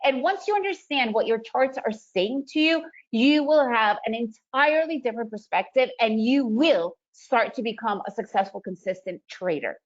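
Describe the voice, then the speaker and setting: A woman's voice: a little high, animated, normal speed, few pauses, muffled recording. A woman from the former Soviet Union, raised in the United States, with Russian as her first language, gives an upbeat trading webinar full of emphatic rhetorical questions. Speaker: trading presenter. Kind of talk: webinar presentation.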